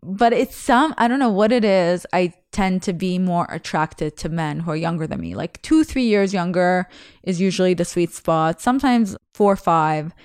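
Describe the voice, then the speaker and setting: A woman's voice: fairly low pitch, moderate pace, a little mellow, smooth, no echo, studio quality. A young woman whose first language is Russian, a podcast host talking candidly and chattily about herself, rambling with restarts. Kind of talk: casual confessional monologue.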